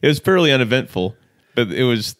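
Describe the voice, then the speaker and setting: A man, a podcast guest and author speaking in a measured, reflective way. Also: mid-range voice, very animated, fairly bright tone, no echo, clean studio sound. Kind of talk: casual conversational interview.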